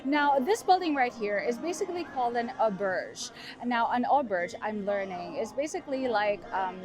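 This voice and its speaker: A young woman leads a walking tour through city streets, her voice sitting a little high. She talks loosely and chattily, stringing thoughts together with fillers as she strolls. Music plays clearly underneath her. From Philippines. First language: Tagalog. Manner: walking while talking. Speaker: tour guide. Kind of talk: casual walking-tour vlog narration. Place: outdoors in a city's streets and harbour lookout. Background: background music.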